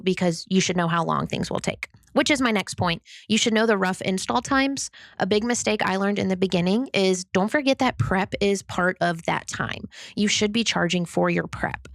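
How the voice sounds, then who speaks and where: A young woman with a high-pitched voice and a gravelly fry at the ends of her phrases, talking alone on a podcast. She sounds upbeat and candid, poking fun at herself as she explains her points. The recording is close and dry, with no room sound. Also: a bit bright, dry recording. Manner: upbeat, candid, self-deprecating. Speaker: podcast co-host. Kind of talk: solo podcast advice talk.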